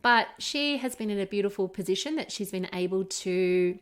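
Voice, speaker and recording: A woman's voice: medium pitch, moderate pace. A middle-aged woman with an Australian accent chatting casually as a podcast co-host, candid and emphatic, with an encouraging way of talking. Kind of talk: casual conversational podcast chat.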